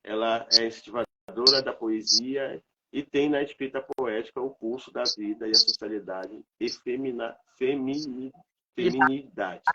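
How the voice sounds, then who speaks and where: A man's voice: mid-range voice, normal speed, sharp tone, breathy edge, lo-fi sound. Congested man. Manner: rambling, with many fillers and restarts. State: congested from a cold. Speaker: host of a live stream. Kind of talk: casual live-stream talk.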